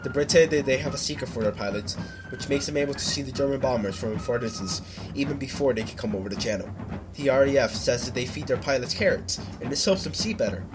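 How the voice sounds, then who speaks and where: A man narrates a scripted newsreel in a 1940s style. His pitch is mid-range for a man, and faint music plays behind him. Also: fast talker.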